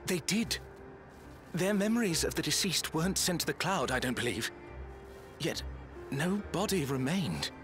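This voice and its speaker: An actor playing a polite, solemn usher with formal, measured wording in a video game cutscene. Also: male voice, on the high side, lively intonation, music in the background, natural voice.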